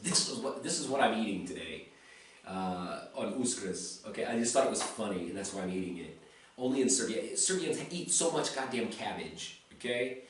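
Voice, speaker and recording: A man's voice: fairly low pitch, animated, normal speed, slight background noise. An American man talking casually in a blunt, rambling vlog, swearing freely and a little tipsy. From USA. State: slightly tipsy. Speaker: vlogger. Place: home in Serbia. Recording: very echoey room, mic far off.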